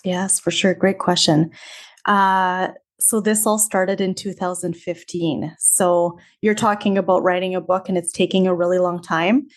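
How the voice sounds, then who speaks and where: A woman in her thirties with a Canadian accent, telling her story as an interview guest in a friendly, measured way. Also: lowish voice, fairly even intonation. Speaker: teacher and author, guest.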